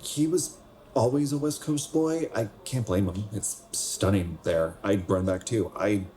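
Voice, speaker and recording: Low-voiced, wistful young man, acted, faint noise. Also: measured pace, mellow, natural voice. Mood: wistful, guarded. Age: young adult. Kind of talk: naturalistic radio drama acting.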